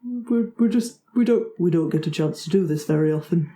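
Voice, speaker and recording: A woman's voice: lowish voice, brisk, dark tone, slight background noise. A woman roleplaying in character in a tabletop game, speaking in short pronouncements. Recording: roomy echo.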